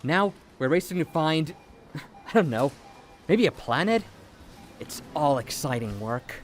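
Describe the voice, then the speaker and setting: A man's voice: fairly high pitch, sing-song, a little slow, a little hiss or hum. A man playing a friendly, upbeat man in his early twenties in an audio drama, with a casual, playful manner. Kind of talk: audio drama acting of fan fiction.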